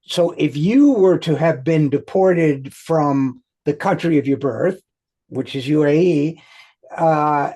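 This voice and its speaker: A man's voice: a little high, slow, mellow. A man hosting an interview, asking brief, curious questions to prompt his guest. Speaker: host.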